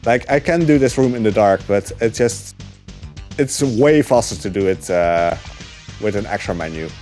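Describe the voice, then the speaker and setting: A man casually commentating his own speedrun live, relaxed and rambling, with many ums and uhs. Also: fairly low pitch, moderate pace, faint music behind.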